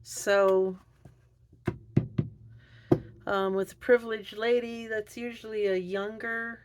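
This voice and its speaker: A woman doing an unscripted tarot reading, thinking aloud in a halting, musing way. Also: mid-range voice, gravelly. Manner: hesitant, halting delivery with musing pauses. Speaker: tarot reader.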